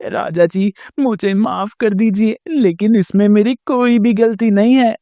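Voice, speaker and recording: A humble village potter from a cartoon fable, voiced by a man. He pleads in a mellow voice on a dry recording. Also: high voice, a little slow, no room sound. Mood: pleading. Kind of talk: Hindi cartoon moral story acting.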